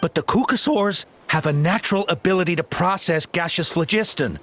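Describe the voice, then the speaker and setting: A man's voice: high-pitched, fairly quick, a bit bright, slight background noise. A man playing a nervous, evasive henchman with a hesitant, defensive manner in an anime game. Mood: explaining.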